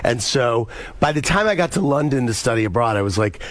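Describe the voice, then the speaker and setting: An American man with a mid-pitched voice sits as a guest in a radio studio chat. He tells candid stories in a casual, animated way, repeating words and slipping into quoted dialogue as he goes. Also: brisk. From United States, Missouri. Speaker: interview guest.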